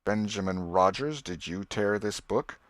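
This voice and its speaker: Male reader as a stern schoolmaster, slow, deliberate, accusing, wrathful, dry sound, storybook figure. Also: on the low side, dry recording, natural voice. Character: stern, wrathful schoolmaster interrogating his pupils.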